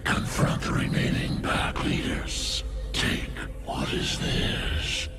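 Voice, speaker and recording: An actor voicing an ancient, grave primal alien monster in a video game, solemn, portentous and forceful. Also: male voice, slow pace, natural voice. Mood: solemn, ominous. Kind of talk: video game character acting. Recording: loud music behind.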